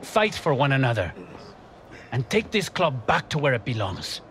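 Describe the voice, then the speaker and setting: An earnest middle-aged football coach in a video game drama. He speaks in a solemn, measured, motivational way at a medium pitch, with faint noise behind him. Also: big pitch swings, fairly bright tone. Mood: stern, rousing. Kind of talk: scripted game drama, pre-match team talk.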